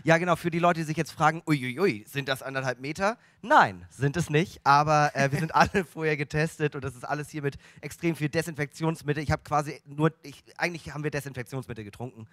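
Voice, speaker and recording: A man's voice: on the high side, fast talker, hardly any pauses, a bit bright, no echo. A man hosting a stage show, cracking playful jokes and casually introducing the next act. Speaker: host. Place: stage without audience.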